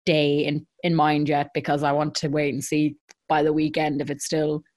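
Chatty irish woman, lower voice. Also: monotone, normal speed, darkish tone. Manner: chatty, storytelling, laughs often. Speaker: podcast co-host. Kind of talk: casual chat.

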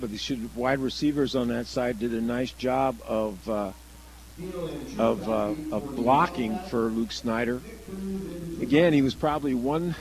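Halting male football color commentator, mid-pitched. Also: slight background noise, low-quality recording. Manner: halting, explanatory, restarts phrases often. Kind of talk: live radio football analysis, conversational.

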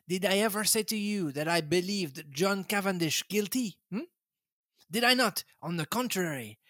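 A man's voice: high-pitched, a bit bright, no room sound, clean studio sound, voice pushed up, heavily acted. A man voicing a fussy elderly male detective with a put-on French accent, coaxing and ending his points with a questioning hmm.

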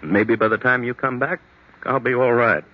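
Through a narrow, tinny old radio recording comes a bewildered husband played by a man. His mid-pitched voice is slightly rough and hesitant. He sounds anxious and apologetic, in vintage mystery drama style. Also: fairly even intonation, normal speed, close to an everyday voice. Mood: weary, apologetic. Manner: hesitant, faltering, repeats himself.

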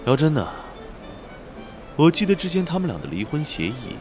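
A man's voice: on the high side, big pitch swings, normal speed, music in the background. A man acting a haughty, hot-tempered, protective rich young man in a radio drama, scornful and sarcastic.

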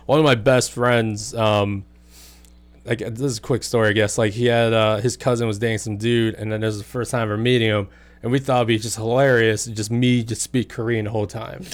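A man's voice: lowish voice, steady tone, a little fast. A young man telling stories in a casual chat, swearing freely and laughing often. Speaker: podcast guest.

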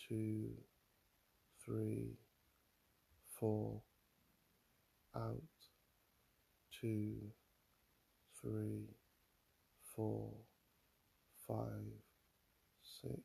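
A man guiding a mindfulness meditation in a calm, soothing manner, leaving long pauses between phrases. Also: fairly low pitch, creaky, slightly rough. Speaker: mindfulness guide. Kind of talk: guided meditation, body scan.

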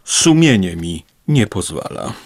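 An actor playing a prudent, smug, moneyed suitor in a radio play. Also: male voice, sits low, sing-song, measured pace, exaggerated voice.

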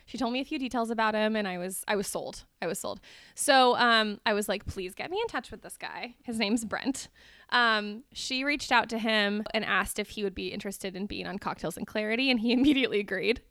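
A woman's voice: medium pitch, fairly quick, fairly bright tone, dry recording, studio quality. A young woman co-hosting a podcast, chatting casually and rambling with many fillers like "um" and "like". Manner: rambling, filler-heavy, lots of "like" and "um". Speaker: podcast co-host. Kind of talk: casual chat.

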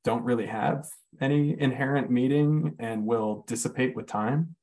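A man's voice: mid-range voice, moderate pace, darkish tone, low-quality recording. A man in a casual podcast chat, talking reflectively and searching for his words. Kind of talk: casual conversation.

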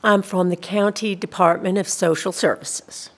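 A woman's voice: fairly low pitch, fairly even intonation, normal speed, slightly rough. An actress playing a polite but persistent government social worker in an audio drama, soothing and officious as she questions someone. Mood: officious, pressing. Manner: politely insistent, bureaucratic, soothing reassurances. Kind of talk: scripted audio drama dialogue.

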